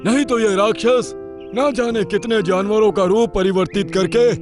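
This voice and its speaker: A man playing an earnest male character in an animated animal fable, speaking in a dramatic storybook manner. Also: high-pitched, moderate pace, music in the background, natural voice. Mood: grateful, relieved.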